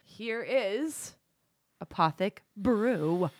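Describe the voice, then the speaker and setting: A woman's voice: mid-range voice, animated, drawn-out words, no echo. A woman with a stuffy nose from a cold, chatting casually as a co-host with a hesitant, filler-heavy way of talking. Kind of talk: casual chat.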